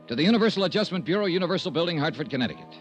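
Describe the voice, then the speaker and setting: A man playing a brisk, dogged, wry insurance investigator in a 1950s radio detective drama. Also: fairly high pitch, expressive, faint music behind, natural voice. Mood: brisk narrating. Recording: old radio drama recording.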